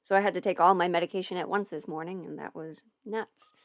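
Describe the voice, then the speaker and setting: A woman's voice: on the low side, fairly even intonation, fast talker, dry recording, studio quality. A woman chatting casually on a livestream from home, rambling and muttering to herself as she fiddles with settings. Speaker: audio performer streaming live. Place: at home, alone in her house. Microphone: studio mic through an audio interface.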